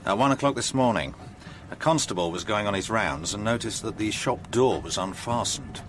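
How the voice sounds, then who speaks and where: A man plays an English police chief inspector in a radio play, low in pitch. His delivery is brisk and matter-of-fact, with an English accent and the air of a working policeman. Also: animated, natural voice. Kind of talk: radio drama acting.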